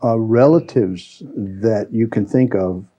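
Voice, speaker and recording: A man's voice: lowish voice, slow pace, mellow. A man asking questions conversationally as the interviewer in an oral history interview. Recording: dull, muffled recording.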